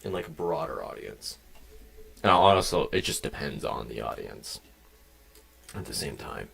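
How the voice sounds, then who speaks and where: Gravelly young man rambling on a dev stream. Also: extremely low pitch, lively intonation, a little slow, a bit bright. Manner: rambling, jumps between tangents, swears casually. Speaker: game developer streaming. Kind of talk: casual live-stream chat while coding. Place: home desk, indoors.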